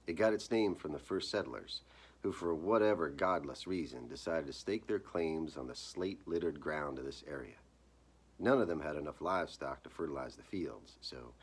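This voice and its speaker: A man reading his own short story aloud as its author, with measured, deliberate phrasing. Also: extremely low pitch, breathy edge. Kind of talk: dramatic live reading of literary horror fiction.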